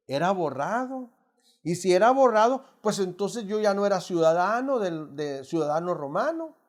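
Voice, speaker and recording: A man's voice: high voice, sing-song, moderate pace. A middle-aged man from Mexico with a Mexican accent, teaching an adult Bible study in an emphatic, preacherly way, constantly addressing the listeners as brothers. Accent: Mexican Spanish.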